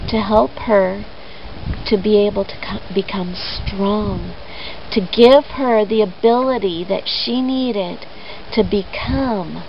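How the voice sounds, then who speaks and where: A woman tells a children's story at a slow, deliberate pace, pausing for drama. Her voice sits a little high and has a creaky, gravelly edge. The recording is dull, with faint noise behind it. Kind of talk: expressive children's story retelling with simple explanation.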